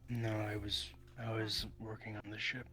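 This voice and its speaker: A man's voice: fairly low pitch, level tone, normal speed, natural voice. A man playing an evasive, awkward working man in a roleplay, giving hesitant, terse answers. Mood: guarded, evasive. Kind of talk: improvised in-character roleplay dialogue. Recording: loud background music.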